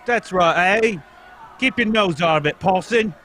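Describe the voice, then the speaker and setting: A cheeky London loafer from a radio play, acted by a man, fairly high in pitch. He is slangy and mocking, with menace under the cheek. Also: a little slow, a bit bright, faint music behind. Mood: mocking, threatening. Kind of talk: old-time radio drama script read live.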